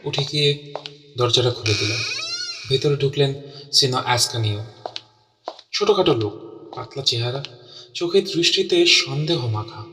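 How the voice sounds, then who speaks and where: A man playing a detective's earnest companion, narrating a mystery audio story in a measured, dramatic way. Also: medium pitch, music in the background, natural voice. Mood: suspenseful narration. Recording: distant voice in long hall reverb.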